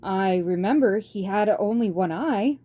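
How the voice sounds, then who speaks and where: A woman's voice: medium pitch, animated, a little slow, dark tone. Woman playing an eager young mouse. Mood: earnest, excited. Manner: eager, earnest. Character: earnest, excitable young male mouse.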